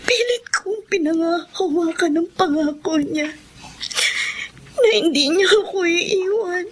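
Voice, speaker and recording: An actress playing a soldier's devoted wife in a Filipino radio drama, in a melodramatic, tearful manner. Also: female voice, extremely high pitch, a little slow. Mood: anguished longing.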